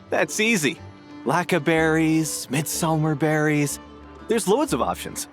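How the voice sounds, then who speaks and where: A laid-back student, blunt and casual, drawls in a low voice. Her pitch still wanders up and down. Soft game music sits behind. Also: expressive, slow pace. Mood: offhand.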